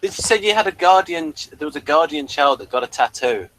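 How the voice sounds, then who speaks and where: A man's voice: fairly high pitch, lively intonation, a little fast, fairly bright tone, no room sound, low-quality recording. A man co-hosting a casual podcast chat about a TV episode, now and then reading out lines from a page. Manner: chatty, reads out bits from a page. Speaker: podcast co-host.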